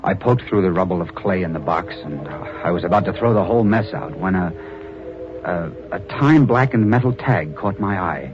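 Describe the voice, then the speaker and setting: Low-voiced reporter narrator, old radio drama, music under, dull sound. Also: male voice, expressive, a little fast. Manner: earnest storyteller, hesitant repeats. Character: newspaper reporter narrating his story, adult man.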